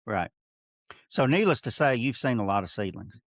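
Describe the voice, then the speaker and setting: A man's voice: mid-range voice, lively intonation, normal speed, dry recording, clean studio sound. A middle-aged man from Alabama with a Southern accent, hosting a podcast interview and drifting into friendly asides. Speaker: podcast host. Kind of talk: casual interview.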